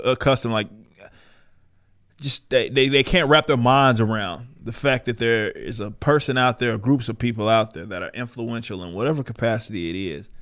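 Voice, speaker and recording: A man giving casual, opinionated podcast commentary in a combative, emphatic manner with plenty of swearing. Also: fairly low pitch. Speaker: podcast host.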